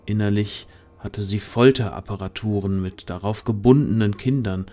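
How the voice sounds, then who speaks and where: A man's voice: lowish voice, fairly even intonation, moderate pace, dark tone. A man narrating a fantasy audio drama from a script as storyteller.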